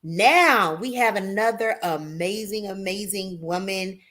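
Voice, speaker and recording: A woman hosting a live talk show, loudly hyping her audience and calling guests "queen". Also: medium pitch, a little slow. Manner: hypes the audience with "y'all" and "queen".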